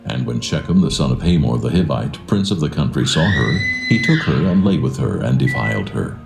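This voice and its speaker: A man narrating scripture in a dramatised reading, solemn and measured. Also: a bass voice, big pitch swings, vocal fry. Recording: roomy echo, soft music behind.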